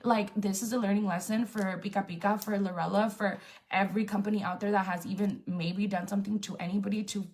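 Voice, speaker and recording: Woman, young adult, livestream vent, blunt and defiant, creaky voice, middle pitch. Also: fairly even intonation, quick pace, darkish tone. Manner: blunt and defiant, swears a lot, many "like" fillers. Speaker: influencer on a livestream. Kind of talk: casual venting to viewers on a live stream.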